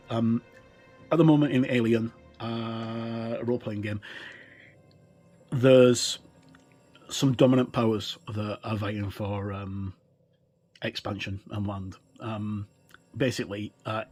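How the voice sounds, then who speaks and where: A middle-aged man hosting a casual look-through for a gaming channel, talking in a halting way with pauses mid-sentence. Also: lowish voice, a little mellow. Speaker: host of a tabletop gaming channel. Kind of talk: casual presenter talk, book look-through. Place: indoors, tabletop overhead shot.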